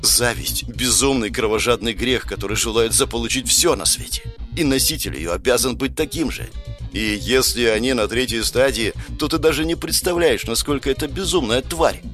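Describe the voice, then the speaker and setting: A man's voice: mid-range voice, normal speed, a bit bright, close to an everyday voice. A man voicing an anxious female sin spirit in a fantasy audiobook, agitated and earnest, with music underneath. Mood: worried, explaining.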